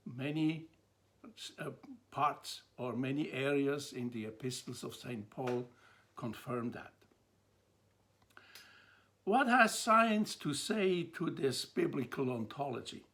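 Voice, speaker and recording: Slow, gravelly Swiss-accented older man. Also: medium pitch, fairly bright tone. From Switzerland. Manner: measured, pausing between phrases. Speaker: theologian presenting a talk. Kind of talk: slow, deliberate lecture to camera.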